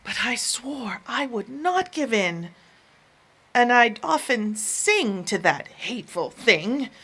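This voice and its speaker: A woman's voice: fairly high pitch, very animated, slow, breathy edge. A woman giving a dramatic live recitation of narrative verse, theatrical and emphatic. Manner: theatrical, emphatic, with hushed asides. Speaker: poetry reciter. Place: live performance before listeners. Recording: live performance, faint background noise.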